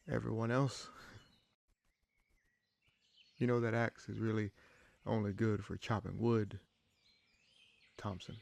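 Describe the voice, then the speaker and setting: Guy doing a bandit boss for fantasy audio rp, deep creaky voice, chill and protective, kinda halting like real talk. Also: dry recording. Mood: loud, playful greeting. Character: human bandit leader, grateful, easygoing, protective man. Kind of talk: roleplay audio drama, spoken to the listener. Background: birdsong, forest ambience.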